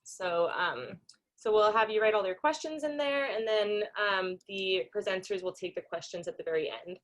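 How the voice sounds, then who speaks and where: A woman's voice: medium pitch, normal speed. Woman hosting a casual video-call welcome. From Denmark, raised in Singapore and the US. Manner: informal, many ums, small laughs. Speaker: conference organizer and host. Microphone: computer microphone on a video call.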